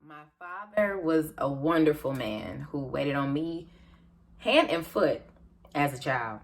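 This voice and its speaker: An aggrieved American wife, acted by a woman, pleads her case in a darkly comic stage monologue. Her voice sits low, and her delivery is confiding and dramatic, moving between measured explanation and emphatic self-justification. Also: expressive, full character voice. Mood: wistful, confiding.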